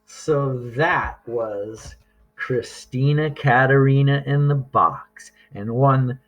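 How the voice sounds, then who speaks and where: A man reading a children's story aloud as narrator, with expressive, deliberate phrasing. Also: mid-range voice, faint music behind, rough recording. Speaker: storybook reader.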